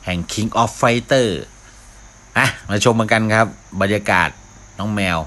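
A man's voice: lowish voice, slow, bright, forceful tone. A man giving casual live commentary as a gaming video host, often talking to himself as he plays. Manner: mouths sound effects, talks to himself while playing.

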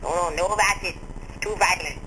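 Man putting on a high-pitched, fussy foreign shop owner. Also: fairly even intonation, close to an everyday voice. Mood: fussy, disapproving. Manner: halting, repetitive, insistent. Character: foreign shop-owning parent, fussy and clueless, complaining of bad kids. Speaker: prank caller. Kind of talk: prank phone call. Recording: noisy lo-fi phone prank recording.

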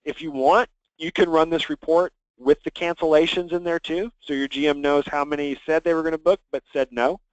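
A man leading a software training conference call, talking conversationally and instructively as he walks listeners through things. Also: fairly high pitch, fairly even intonation, fairly quick, few pauses, dry recording, muffled recording. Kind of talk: conversational screen-share walkthrough. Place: conference call with screen share.

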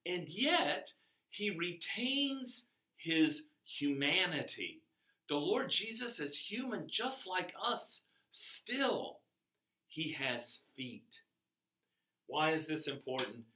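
An older man giving a chapel sermon to children in a classroom, speaking slowly and emphatically, his voice rising on key words. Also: a little high, sing-song, bright, forceful tone. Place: classroom with a whiteboard, indoors. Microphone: camera across the room. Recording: echoey classroom from far off.

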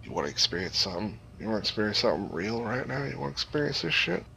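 A man puts on a crazed girlfriend from a comic tale, intense and demanding. The voice is gravelly and forceful, swearing freely on a slightly noisy recording. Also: lowish voice, sing-song, very fast, full character voice.

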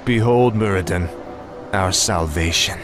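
An actor playing a proud, vengeful young warrior prince in a fantasy game, commanding and intense. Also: male voice, deep voice, unhurried, background noise. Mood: resolute. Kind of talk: fantasy video game cutscene acting. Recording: loud game music behind the voice.

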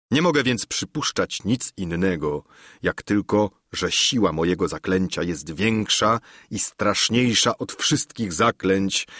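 A man voicing a deluded, solemn old knight-errant who speaks in a grave, lofty, measured way. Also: lowish voice, fairly bright tone, dry recording, clean studio sound.

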